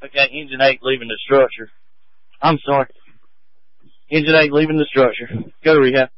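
A man's voice: mid-range voice, steady tone, fairly quick, no echo. A man speaking as a fire incident commander over a two-way radio at a fire scene. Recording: muffled two-way radio transmission.

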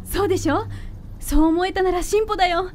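A woman playing a cheerful, teasing young girlfriend in a radio drama, with a bright, girlish manner. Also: very high voice, a little fast, faint music behind. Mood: gentle. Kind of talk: Japanese radio drama acting.

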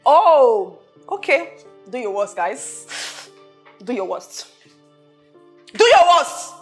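A woman's voice: sits high, animated, normal speed, faint music behind. An actress playing a haughty, hot-tempered rich woman who scolds and repeats her questions emphatically in a film drama. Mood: threatening. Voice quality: loud, shouting when angered. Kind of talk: low-budget film drama acting.

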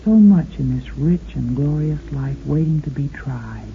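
A woman's voice: deep voice, animated, unhurried, background noise. A woman hosting an old radio show in an intimate, sweet-talking monologue, addressing the listener as a sweetheart. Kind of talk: 1950s radio companion monologue. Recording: vintage 1950s radio, tinny narrow band. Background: music.

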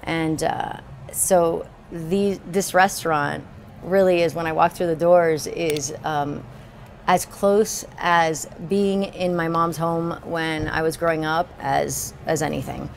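A woman in her forties from Miami, a restaurant owner speaking in a seated outdoor interview, relaxed and full of filler pauses. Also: deep voice, gravelly, a little hiss or hum. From Miami, Florida. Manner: relaxed, many filler uhs, seated on a bench. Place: outdoor porch of a small building.

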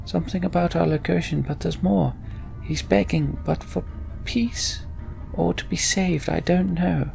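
A man's voice: on the high side, steady tone, measured pace, gravelly, darkish tone, pitched above the performer's own voice. A man voicing an anxious fantasy game character in a hesitant, strained way. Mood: uncertain, urgent. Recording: game music behind voice.

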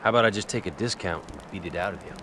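An actor playing a gruff, menacing biker antihero who talks in terse, blunt lines for a video game. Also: male voice, sits low, racing, background noise, close to an everyday voice. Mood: cold, blunt. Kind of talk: video game cutscene acting.

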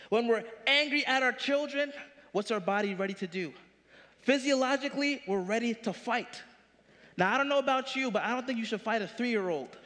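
A man in his thirties preaching a sermon from a large church stage, animated, with punchy rising questions. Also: very high-pitched, big-room sound. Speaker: pastor.

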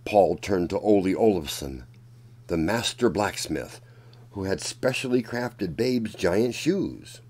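A man reads a children's picture book aloud in a low voice. His pace is very slow and deliberate, and his intonation rises and falls widely. The delivery is that of a dramatic storyteller addressing young children. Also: expressive, darkish tone, breathy edge. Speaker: children's storyteller and channel host.

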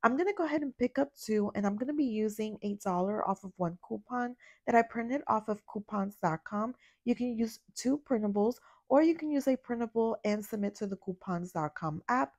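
Raspy young woman with a fading voice. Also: on the high side, lively intonation, fairly quick, few pauses, a little mellow, no echo, studio quality. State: recovering from a cold, voice fading. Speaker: couponing vlogger. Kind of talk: casual shopping voiceover. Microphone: voiceover mic.

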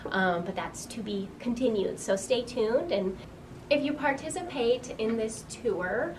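A woman's voice: on the high side, animated, unhurried. Earnest young woman, off-mic. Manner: earnest and informative, with frequent "um" fillers. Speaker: museum director. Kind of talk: informal on-camera explanation to a visitor. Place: small museum room indoors. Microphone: camera a few feet away. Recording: distant mic with clear room noise.

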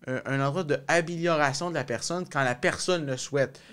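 A man's voice: a little high, fairly quick, fairly bright tone, clear, resonant tone. A young man with a Québécois French accent hosting a casual discussion, rephrasing as he searches for his wording, on a studio mic. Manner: searching, roundabout, repeats and rephrases. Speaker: podcast host. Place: small indoor podcast studio. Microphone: close studio microphone.

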